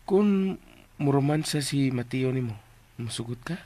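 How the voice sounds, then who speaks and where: An actor playing a cheeky, simple-minded, meddling household helper with a Cebuano accent and a raspy voice in a comic radio drama. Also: mid-range voice, animated, measured pace, mellow, no echo, close to an everyday voice. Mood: cheeky, teasing.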